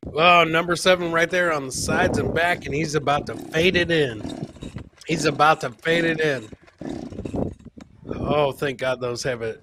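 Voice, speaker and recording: Higher-voiced man hosting a comedy show. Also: noisy background. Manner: jokey, addresses co-host and live chat by nickname. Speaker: host of a live comedy clip show. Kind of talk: casual live show banter reacting to video clips.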